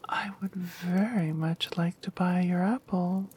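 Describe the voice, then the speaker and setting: A woman voicing a kind young princess in a soft whisper. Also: on the low side, steady tone, unhurried, slight background noise, natural voice. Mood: polite.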